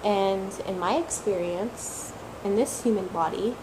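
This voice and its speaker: A woman for whom English is a third language, talking slowly and reflectively as a tarot reader, repeating words for emphasis and sometimes searching for them. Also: medium pitch, noisy background. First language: not English; English is her third language. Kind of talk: slow reflective monologue.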